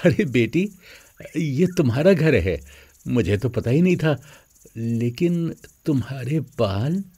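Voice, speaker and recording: A man plays a fatherly middle-aged man in a cartoon moral tale. His voice is husky and dark-toned, and he speaks with earnest, proud conviction. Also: mid-range voice, expressive, slow. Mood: polite, surprised. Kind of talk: animated moral story dialogue.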